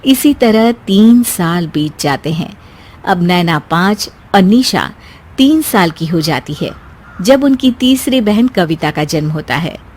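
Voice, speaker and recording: A woman's voice: on the low side, lively intonation, slow pace, darkish tone. A woman narrating a scripted family story as a storyteller.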